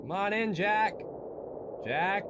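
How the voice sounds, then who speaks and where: Man acting a high, pleading young man. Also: expressive, slow pace, background noise, full character voice. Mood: searching, worried. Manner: pleading, calling out. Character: anxious young man calling out to his partner in snow. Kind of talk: old-time radio drama acting.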